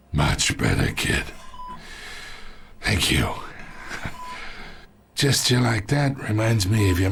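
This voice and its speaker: An actor playing an ageing, wounded, sly mob boss in a video game, with a gravelly voice and a taunting, falsely chummy drawl. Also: male voice, lowish voice, a little hiss or hum, heavily acted. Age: older. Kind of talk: dramatic video game acting.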